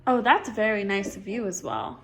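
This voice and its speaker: A woman's voice: medium pitch, very animated, normal speed, fairly bright tone. A woman reading a picture book aloud to a child, encouraging and often echoing answers back as questions. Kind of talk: dialogic storybook reading with questions.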